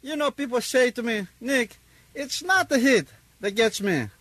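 A man's voice: very high-pitched, animated, normal speed, fairly bright tone, dry recording, full character voice. A man playing a chatty hot dog vendor with a Greek accent in a comic radio bit, with cheesy radio-jock patter. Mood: hammy. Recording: archive clip with music behind.

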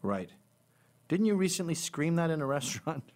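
A man's voice: fairly high pitch, sing-song, moderate pace, darkish tone. A man hosting a casual chat, teasing and provocative, slipping into impressions. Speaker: host.

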